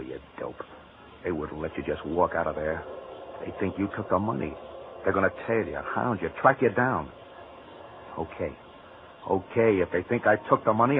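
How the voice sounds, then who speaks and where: An actor playing a bitter, brooding ex-convict down on his luck, with slangy, clipped tough-guy talk in 1940s radio style. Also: low voice. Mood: hard, resolved. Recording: tinny old-time radio recording.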